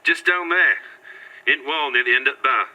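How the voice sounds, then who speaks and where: A man's voice: mid-range voice, moderate pace, bright, forceful tone, low-quality recording. A man acting a blunt but helpful pub barman with a Northern English accent in an audio drama, clipped and plain-spoken. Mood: matter-of-fact.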